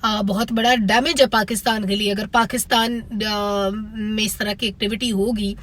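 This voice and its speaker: A woman's voice: mid-range voice, fairly even intonation, normal speed, a bit bright. A woman with a Pakistani accent giving a critical, opinionated spoken film review to viewers.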